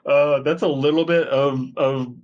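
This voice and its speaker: A man co-hosting a podcast in casual comedic chat, riffing in a rambling, animated, profane way. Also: fairly high pitch, measured pace, highs cut off. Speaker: podcast co-host. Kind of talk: casual comedic chat with read-aloud passages.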